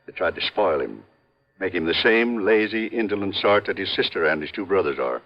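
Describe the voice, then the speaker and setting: An actor playing an earnest, loyal American family lawyer in 1950s radio drama style, speaking in a measured, formal, emphatic way. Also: male voice, deep voice, animated. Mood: earnest, regretful. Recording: muffled old radio broadcast recording.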